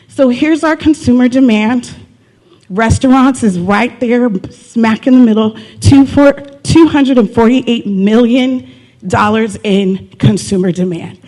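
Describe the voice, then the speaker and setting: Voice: mid-range voice, darkish tone, low-quality recording. Upbeat presenter asking rhetorical questions. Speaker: city presenter. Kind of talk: conference pitch presentation.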